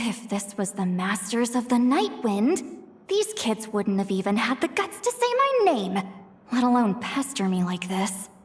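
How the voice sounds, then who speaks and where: A woman voicing an excitable, expressive young female character in a video game. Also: a little high, unhurried, slight background noise. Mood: exasperated. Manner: expressive, exclamatory. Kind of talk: animated video game voice acting. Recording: music under the voice.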